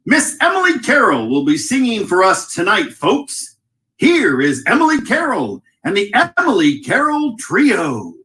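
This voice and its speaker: A man's voice: sits high, wildly swooping pitch, measured pace, bright, forceful tone, full character voice. A man playing a showy old-time radio announcer in 1940s style. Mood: proud, showy.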